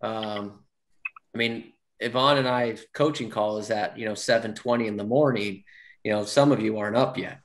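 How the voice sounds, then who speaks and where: A man's voice: lowish voice, normal speed. Encouraging man hosting a noisy call. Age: 30s. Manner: encouraging, repeats himself. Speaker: roundtable host, coach. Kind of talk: casual meeting moderation. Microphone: video call. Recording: noisy video call with clicks.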